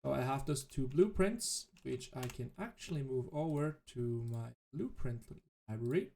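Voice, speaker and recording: A man whose native language is German, thinking aloud in halting, self-correcting commentary as he plays a game. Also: mid-range voice, expressive, gravelly, mellow. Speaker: let's play host. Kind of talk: casual gameplay commentary.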